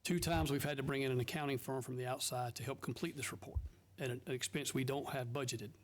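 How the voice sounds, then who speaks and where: A man's voice: mid-range voice, steady tone, fast. A man, a council member reporting methodically to a county council meeting. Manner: methodical, explains step by step.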